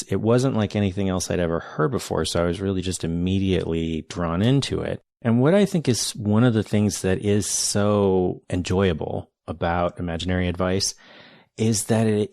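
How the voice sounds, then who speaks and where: A man's voice: low voice, darkish tone, no echo. A man hosting a podcast, reading an introduction and then chatting earnestly and enthusiastically with a guest, often restarting his sentences. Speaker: podcast host. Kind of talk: read intro then casual interview chat.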